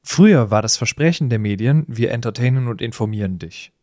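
A man's voice: medium pitch, expressive, fairly quick, darkish tone, no room sound, studio quality. A man in a conversational podcast discussion, explaining things with emphatic phrasing. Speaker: podcast co-host.

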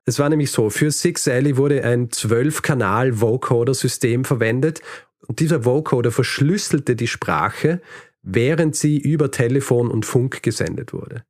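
A man's voice: medium pitch, normal speed, no echo. A man hosting a podcast, telling history in a conversational, explanatory way with light dry humour. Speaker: podcast host.

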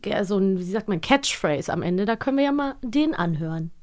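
A woman's voice: mid-range voice, lively intonation, a little fast, a little mellow. A woman co-hosting a podcast in casual discussion, speaking frankly and giving her opinions openly. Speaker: podcast co-host.

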